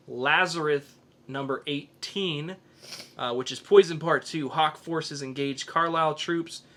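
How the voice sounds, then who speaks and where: A man's voice: a little high, a bit bright. A man hosting a podcast, chatting casually about comics and films. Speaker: podcast host. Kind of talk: casual comics and film review chat.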